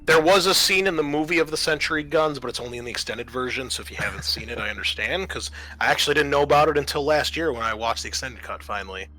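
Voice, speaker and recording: A man acting as game master, improvising narration and explaining rules, often pausing mid-sentence as he thinks. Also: medium pitch, sharp tone, rough recording. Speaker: game master and host of a tabletop roleplaying session. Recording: noticeable background room noise.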